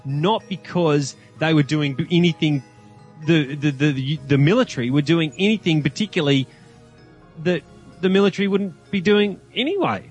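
A man's voice: a little high, normal speed, faint music behind. A man as a podcast guest in casual film discussion, rambling and stammering as he searches for words. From Australia.

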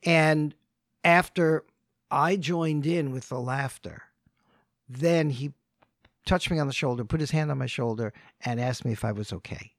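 A man's voice: medium pitch, unhurried, no room sound, studio quality. A man co-hosting a podcast, telling stories in a thoughtful, casual way with frequent restarts. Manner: storytelling, thoughtful, many restarts. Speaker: podcast co-host.

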